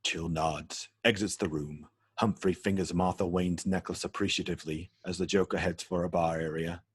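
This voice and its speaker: A man narrates a screenplay, reading out scene headings and stage directions. His voice is very low and husky, captured close and dry. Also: fairly even intonation, normal speed, dry recording. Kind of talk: scripted narration at a table read.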